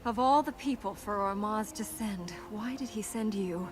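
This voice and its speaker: An actress playing a proud, devout young warrior princess in a video game, commanding and earnest. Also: female voice, mid-range voice, moderate pace, slight background noise, lightly acted. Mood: stern, skeptical. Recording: loud music behind the voice. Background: loud game music.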